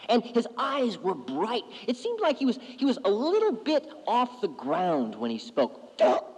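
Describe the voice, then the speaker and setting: A man's voice: sits high, wildly swooping pitch, a little slow, a little mellow, husky, long reverb tail. A man telling a spooky story in a wry, conversational way.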